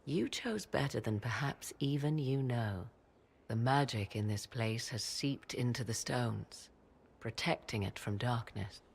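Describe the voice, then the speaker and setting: Creaky-voiced, haughty mysterious sorceress. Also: female voice, a bass voice, a little mellow, heavily acted. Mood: solemn, mysterious. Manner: archaic, theatrical, measured phrasing. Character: proud, mysterious sorceress with arcane knowledge. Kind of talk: dramatic video game acting.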